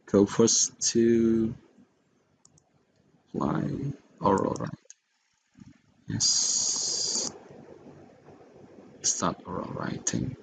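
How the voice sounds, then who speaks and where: A man with an Indonesian accent walks through a software tutorial in a low, creaky voice. He speaks haltingly and at a slow pace, feeling his way from step to step. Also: a little hiss or hum, low-quality recording. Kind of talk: unscripted screen-recorded tutorial.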